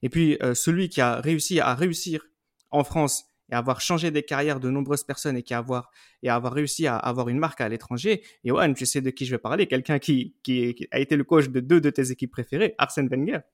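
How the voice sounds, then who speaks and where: A man with a medium-pitched voice hosts a discussion podcast. He speaks conversationally, setting up subjects and passing them along to the other panelists. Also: brisk. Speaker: podcast host. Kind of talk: conversational moderating, handing over to panelists.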